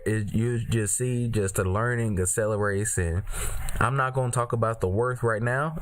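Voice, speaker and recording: A relaxed man hosts a live podcast over a computer mic, speaking casually and full of fillers. His voice sits fairly low. A faint hiss runs beneath it. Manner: laid-back, many fillers. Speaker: podcast host. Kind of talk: casual live-stream chat.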